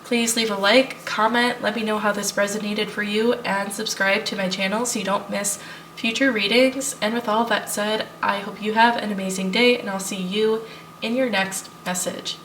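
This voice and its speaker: A woman with a stuffy cold, reading tarot cards for viewers in a relaxed, reassuring way. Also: medium pitch, normal speed, distant mic, slight background noise, low-quality recording. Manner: thinking aloud, reassuring, addresses viewers as piles. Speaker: tarot reader. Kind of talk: pick-a-card tarot reading.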